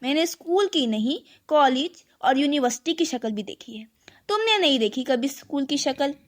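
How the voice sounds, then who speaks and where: A woman's voice: sits high, expressive, moderate pace. A woman voicing a boastful, indignant village police inspector in a children's cartoon story. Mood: angry. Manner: pompous, indignant.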